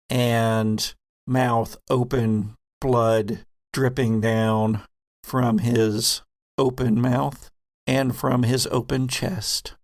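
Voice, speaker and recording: A man's voice: medium pitch, dry recording, clean studio sound. A man narrating a horror tabletop roleplaying game as game master, in a descriptive storytelling manner.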